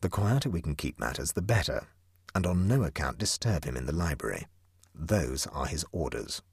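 A man voicing a calm, nonchalant young male prankster who talks in a dry, matter-of-fact way. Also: very deep voice, normal speed, vocal fry, slightly rough, no echo, exaggerated voice. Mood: cool, nonchalant.

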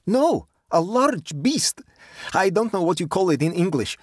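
A man voicing a high-spirited nineteen-year-old Russian youth, eager and boastful, halting over his English words. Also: sing-song, dry recording, clean studio sound, heavily acted. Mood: proud, eager.

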